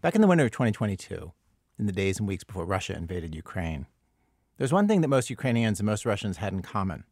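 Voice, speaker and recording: A man's voice: on the low side, very animated, fast talker, a little mellow, dry recording, studio quality. A man hosting a radio show, reading a scripted introduction in a conversational, reflective way.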